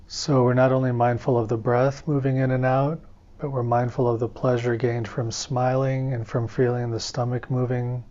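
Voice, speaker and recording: A man teaching guided meditation in a calm, gentle, unhurried manner. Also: mid-range voice. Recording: dull, muffled lo-fi audio.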